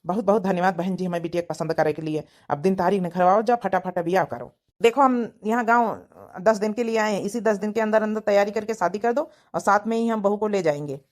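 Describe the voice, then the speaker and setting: A woman's voice: medium pitch, brisk, natural voice. A woman voicing a blunt, talkative village father with an Awadhi accent, fond of his daughter, in a cartoon comedy. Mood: pleased. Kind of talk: rustic cartoon comedy acting.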